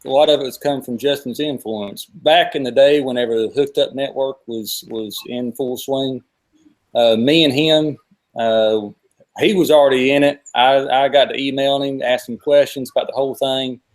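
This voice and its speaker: Male host, 40s, Southern US accent. Loose, repetitive, chatty delivery at an average pitch. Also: steady tone, normal speed, poor audio. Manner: rambling, repeats himself. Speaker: host of a fishing live stream. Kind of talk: casual live-stream chat.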